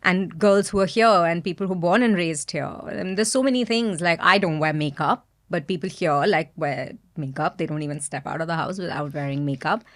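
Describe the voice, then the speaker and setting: A woman's voice: fairly low pitch, fairly quick, few pauses. A woman from India with an Indian accent, speaking candidly and thoughtfully as a podcast guest. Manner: soft-spoken, candid, thoughtful. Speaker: guest, business founder. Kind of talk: reflective podcast interview.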